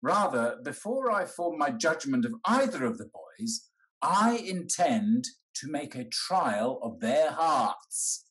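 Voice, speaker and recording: A man acting a blunt, hearty old English gentleman in a period stage comedy, emphatic and wry. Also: a little high, very animated, slow pace, full character voice, rough recording. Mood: indignant, firm. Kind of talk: theatrical period comedy acting over video call. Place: indoors, on a video call.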